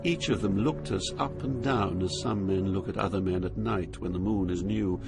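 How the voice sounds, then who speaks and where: Solemn poet recounting the underworld, low, deliberate narration over clear music. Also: male voice. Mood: grave awe. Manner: grave, deliberate. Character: grave poet recounting his journey through hell. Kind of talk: dramatic scripted narration.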